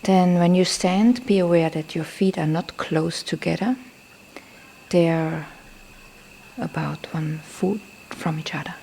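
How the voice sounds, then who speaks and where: A woman who is a non-native English speaker leads a guided meditation as a teacher, speaking softly and breathily, often near a whisper, in gentle, deliberate short phrases with pauses. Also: fairly low pitch, fairly even intonation, gravelly, rough recording. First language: not a native English speaker. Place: temple in Thailand. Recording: faint background noise, live field recording.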